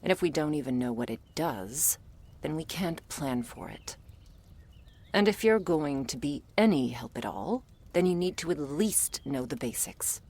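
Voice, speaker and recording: A woman playing a gruff, world-weary female monster hunter in an ASMR audio roleplay, curt, sardonic and bossy as she addresses the listener. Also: animated, measured pace, a little mellow, full character voice. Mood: dry, matter-of-fact. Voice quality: low, husky, breathy with gravelly creak.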